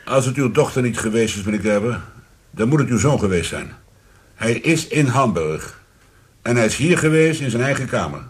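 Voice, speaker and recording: Police commissioner for radio drama: rough-edged voice, animated pitch, polite, formal, pressing. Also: male voice, moderate pace. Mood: probing. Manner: formal, probing, insistent. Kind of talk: Dutch radio drama acting.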